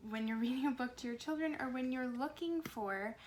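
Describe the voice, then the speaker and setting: A woman reading to young children in an expressive, deliberate way with animated phrasing and dramatic pauses. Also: high voice, slight background noise. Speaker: speech pathologist and children's storyteller. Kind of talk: expressive read-aloud storytelling for young children.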